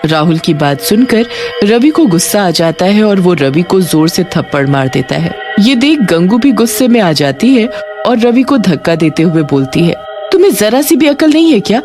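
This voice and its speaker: A woman's voice: on the low side, lively intonation, normal speed. A woman narrating a scripted cartoon horror story as its storyteller. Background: prominent background music.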